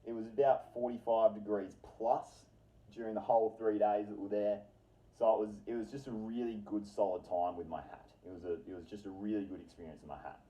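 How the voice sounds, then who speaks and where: Male storyteller, low-pitched, casual and rambling, slangy and sweary, leaning on repetition for dramatic effect. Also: fairly even intonation, brisk, dark tone, lo-fi sound.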